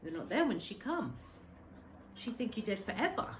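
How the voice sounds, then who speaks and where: A woman voicing an earnest, gentle, sorrowful old Japanese man in a children's storybook, speaking halting, simple broken English. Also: mid-range voice, very animated, voice pushed up, close to an everyday voice. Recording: echoey room, faint background noise.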